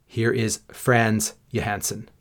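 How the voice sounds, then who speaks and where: A man's voice: on the low side, level tone. A man hosting a podcast, reading an emphatic, upbeat introduction and then welcoming his guest. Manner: upbeat, emphatic, drawn-out words for stress. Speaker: podcast host. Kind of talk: scripted podcast intro and interview.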